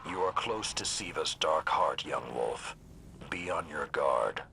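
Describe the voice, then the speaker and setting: An actor playing a grave, haunted old warrior mentor in a video game, speaking in a solemn, measured, gravelly way. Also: male voice, lively intonation, background noise, heavily acted. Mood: solemn urging. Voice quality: very deep, gravelly.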